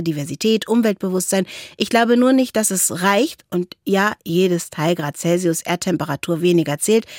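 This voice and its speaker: A woman speaking in a very dry, close recording. She has a mid-pitched voice and a reflective manner, as a podcast co-host reading listener letters and discussing them. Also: darkish tone, dry recording.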